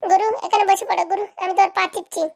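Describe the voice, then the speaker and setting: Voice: very high-pitched, level tone, very fast, vocal fry. A performer voicing an eager, fawning young village boy who trails after his boss in a comic cartoon. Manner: deferential, chatty, fawning.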